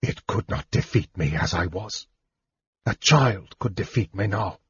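Man voicing a frail, bitter, hushed young mage. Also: fairly low pitch, unhurried, husky, dry recording. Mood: bitter, weary. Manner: weary, bitter, hushed delivery. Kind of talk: audiobook fantasy character dialogue. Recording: slightly muffled, dull sound.

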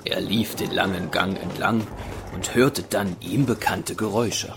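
A man narrating a space adventure audio drama as a serious storyteller, measured and dramatic. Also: low voice, fairly even intonation, vocal fry, heavy background noise. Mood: measured, suspenseful.

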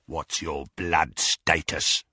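A man voicing a savage male werewolf villain in an audiobook, rasping and growling, gloating slowly and leering with menace. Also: rumbling low, little pitch movement, pushed and bright, dry recording, clean studio sound, voice pushed down, full character voice. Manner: slow, savouring, snarling.

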